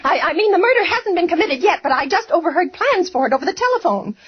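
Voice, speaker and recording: A frantic, imperious society woman who keeps nagging the telephone staff. Her voice sits very high and swoops wildly as she stammers and demands. It comes through a dull, muffled 1940s radio broadcast. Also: big pitch swings, quick pace. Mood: pleading. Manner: shrill, impatient, stammering. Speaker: radio actress.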